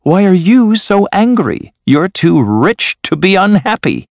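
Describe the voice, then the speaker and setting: A man voicing a cheerful, warm-hearted young nephew in a storybook, earnest and friendly. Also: a little high, huge pitch swings, measured pace, no room sound, voice pushed up, full character voice. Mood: cheerful, teasing. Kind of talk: storybook character voice.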